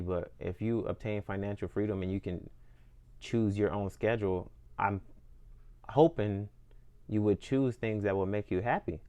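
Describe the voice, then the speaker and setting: Low, flat-voiced young man musing. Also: lo-fi sound. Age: 20s. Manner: earnest, repetitive, restarts phrases while thinking aloud. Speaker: independent musician. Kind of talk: reflective personal monologue.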